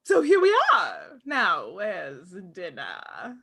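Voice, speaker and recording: A woman's voice: lowish voice, huge pitch swings, slow, creaky, fairly bright tone, husky, exaggerated voice. A woman playing a gleeful, hungry villainous witch in a theatrical, taunting manner for a script table read. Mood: sly, hungry.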